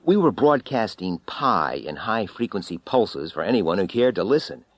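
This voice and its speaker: A man's voice: lowish voice, very animated, moderate pace, no room sound. A man voicing a bitter, haunted former astronaut in an audiobook story, wry, weary and intense. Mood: matter-of-fact, wry. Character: bitter, haunted male former astronaut recounting his ordeal.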